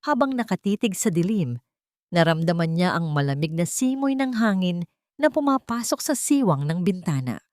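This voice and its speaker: Expressive low-voiced woman storyteller. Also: normal speed, dry recording, clean studio sound. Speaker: narrator. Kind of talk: dramatic scripted story narration.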